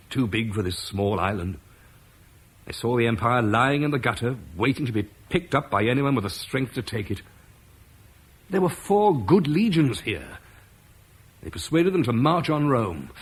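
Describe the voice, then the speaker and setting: An actor playing Marcus, a duty-bound Roman officer, in an old radio play, earnest and measured. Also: male voice, medium pitch, slightly rough. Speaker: Roman commander leaving Britain. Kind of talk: 1950s BBC radio drama acting.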